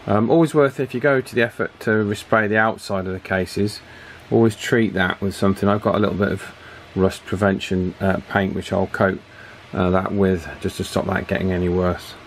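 A man giving a hands-on repair tutorial at a workbench in an informal, matter-of-fact way with hesitant pauses. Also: low-pitched, steady tone, a little hiss or hum. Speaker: radio repair technician and presenter. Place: indoors at an electronics workbench.

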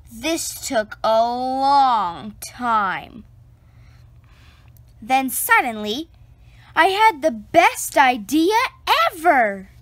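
A woman reading a children's picture book aloud, slow and expressive, close to the camera indoors. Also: no room sound. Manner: slow, expressive storytelling with lively pitch.

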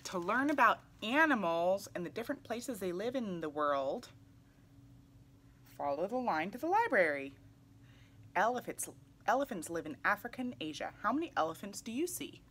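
A young adult woman reading a children's picture book aloud in an animated way, putting questions to young listeners. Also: mid-range voice, moderate pace, slight background noise. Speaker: read-aloud storyteller for children. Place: small indoor room, seated in armchair.

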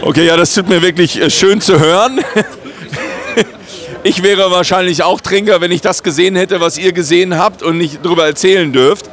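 A man's voice: high voice, steady tone, moderate pace, fairly bright tone. A man vlogging at a trade fair stand, interviewing guests in a joking, self-mocking, rambling way.

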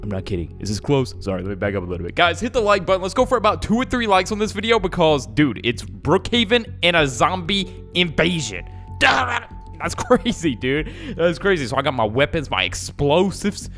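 Young guy doing jokey gaming commentary, kinda high voice, swoops around a lot, music behind him. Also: very animated, moderate pace, fairly bright tone. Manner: jokey, slangy, calls viewers "guys" and "dude". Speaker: gaming video host. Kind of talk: excitable casual gameplay commentary.

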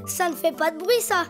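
A woman voicing a playful, imaginative little boy donkey in a cartoon, with a chirpy, childlike, sing-song way of talking over background music. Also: extremely high pitch. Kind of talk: cartoon voice acting. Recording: music bed under dialogue.

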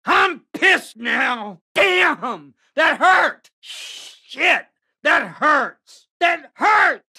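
An actor playing a foul-mouthed, short-tempered killer doll in a video game, with a raspy nasal snarl and mock-playful menace. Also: male voice, very high voice, sing-song, very slow, sharp tone, heavily acted. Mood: pained, angry. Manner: menacing mock-playful taunting, heavy swearing. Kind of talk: video game character voice lines.

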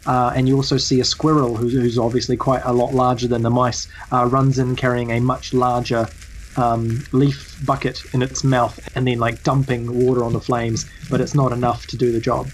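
A man's voice: mid-range voice, little pitch movement, normal speed, slight background noise, poor audio. A man narrating a tabletop game as game master, describing scenes in a hesitant, improvised way.

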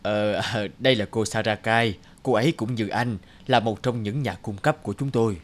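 A man voicing courteous, sociable businessmen with formal politeness in an animated cartoon. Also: on the low side, moderate pace, lightly acted. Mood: polite, sociable. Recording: faint music under the dialogue.

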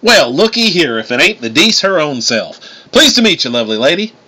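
A man's voice: on the high side, sing-song, a little fast, sharp tone, pitched above the performer's own voice, full character voice. A man voicing a swaggering racer god with a showy, insincere folksy Southern accent. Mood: smarmy. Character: swaggering, needling male god, a race car driver.

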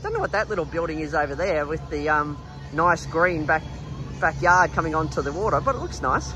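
Australian male travel vlogger, high-ish voice, chatty and informal, outdoors with clear background music. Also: lively intonation, brisk, rough recording. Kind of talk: casual walking vlog narration. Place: outdoors in an urban riverside park. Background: background music, outdoor city sounds.

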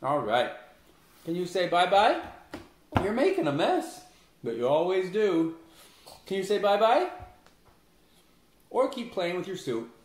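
A man with a fairly high voice talks through a recipe in a home kitchen, chatty and relaxed, turning now and then to his little son. The sound is very echoey, bouncing off the hard kitchen walls, with a faint hiss underneath. He is picked up by a camera some distance from him, not a close mic. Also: unhurried, long pauses. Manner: chatty, explaining steps while talking to his toddler son. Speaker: home cooking show host. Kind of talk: casual cooking demonstration.

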